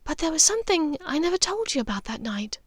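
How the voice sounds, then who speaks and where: Audiobook role: refined middle-aged witch played by a woman, high-voiced, wistful, measured and expressive. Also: no echo. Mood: earnest, wistful. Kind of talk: audiobook character dialogue.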